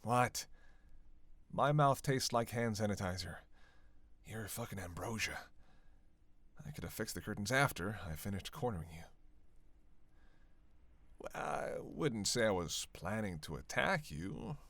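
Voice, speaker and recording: A man's voice: on the low side, lively intonation, unhurried, gravelly, pauses often, a bit bright, dry recording, full character voice. A man playing a sardonic, flirtatious male vampire in a roleplay audio drama, with a teasing, playful and intimate manner. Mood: teasing, hungry. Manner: teasing, foul-mouthed, playful, intimate delivery with sighs. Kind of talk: scripted roleplay audio drama addressed to listener.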